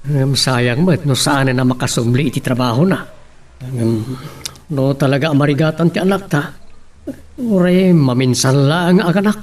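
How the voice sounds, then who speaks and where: A man's voice: medium pitch, moderate pace, darkish tone, lightly acted. A man acting an ageing village father, weary, grumbling but tender-hearted, in an Ilocano-accented family radio drama. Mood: earnest. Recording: distant from the microphone.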